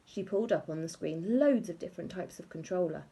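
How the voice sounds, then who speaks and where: A woman reads a children's story expressively. Her voice is lowish and mellow, with a gravelly creak as she narrates. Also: animated, a little fast. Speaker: audiobook reader.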